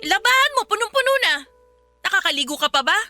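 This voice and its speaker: An actress with a Filipino accent playing a frank, caring but exasperated sister in an emotional radio drama. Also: female voice, extremely high pitch, very animated, fast talker, pushed and bright, soft background music, full character voice. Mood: scolding. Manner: blunt, scolding, earnest.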